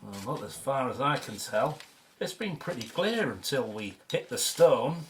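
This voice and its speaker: A woman's voice: extremely low pitch, big pitch swings, unhurried, a little hiss or hum, full character voice. A woman playing an amiable, eager archaeologist in a radio drama. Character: amiable, eager junior archaeologist colleague named Ed.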